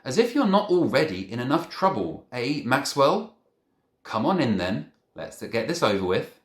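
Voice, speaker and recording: Stern head teacher, a woman acted by a man, storybook scolding, deliberate and commanding, roomy echo. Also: mid-range voice, big pitch swings, pitched above the performer's own voice, lightly acted. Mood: stern, demanding. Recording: echoey room.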